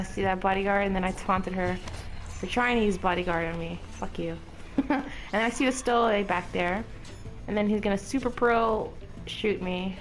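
A woman commentates casually on a video game, her voice a little under the weather with a sore throat. She swears freely, sounds exasperated and often chuckles. Her pitch is average for a woman, and music plays faintly behind her. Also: poor audio. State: slightly sick with sore throat. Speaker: gamer commentating on gameplay.

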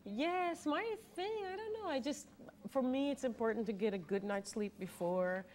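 A woman's voice: a little high, normal speed, slight background noise. A woman in her thirties whose first language is Hebrew, an opera singer talking candidly and with animation as a guest in a TV studio interview. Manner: candid, animated, laughs easily.

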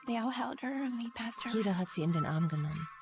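A woman's voice: on the high side, animated, slow pace, vocal fry, full character voice. A woman dubbing a grieving woman who recounts her ordeal in a documentary interview. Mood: sorrowful. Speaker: German dub voice of a documentary interviewee. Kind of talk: dubbed interview testimony. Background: background music.

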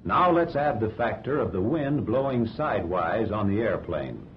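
An American man reading scripted instructional narration for a 1940s training film in a measured, didactic way. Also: sing-song. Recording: dull 1940s film soundtrack, rumbling noise.

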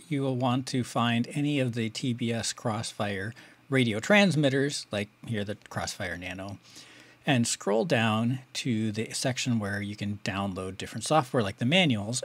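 A middle-aged man with an American accent hosting a casual tutorial, explaining things step by step in a conversational way. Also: medium pitch. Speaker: video tutorial host.

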